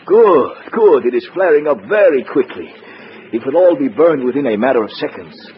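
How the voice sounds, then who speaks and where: Actor as an anxious, swooping communist spy. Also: male voice, mid-range voice, moderate pace, lightly acted. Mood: urgent, pleased. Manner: formal, stiff, excitable. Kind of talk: 1950s radio drama acting. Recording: muffled, noisy old radio recording.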